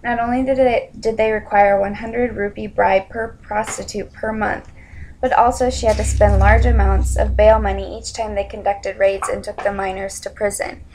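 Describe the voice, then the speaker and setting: A young adult woman giving an informal spoken presentation in a somewhat hesitant, halting way. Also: mid-range voice, level tone, darkish tone, slight background noise, poor audio.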